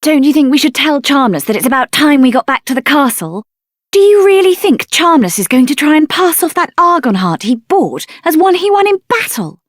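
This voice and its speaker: A woman voicing a feisty, sassy, sharp-tongued young sorceress out for revenge in a video game. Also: high voice, very animated, a little fast, dry recording, studio quality. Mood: sassy, scornful.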